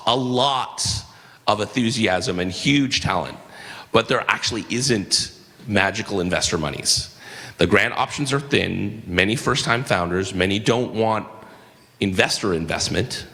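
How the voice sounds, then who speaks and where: A man giving wry, quick closing remarks on a conference stage, with many fillers. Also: on the low side, bright, forceful tone. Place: conference stage in a large hall. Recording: live conference hall with reverb.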